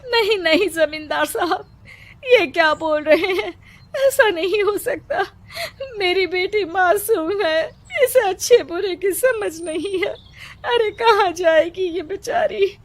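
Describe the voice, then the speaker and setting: A woman's voice: very high-pitched, slow pace, no room sound. A woman voicing a distraught village parent, crying and pleading in a story. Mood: tearful pleading.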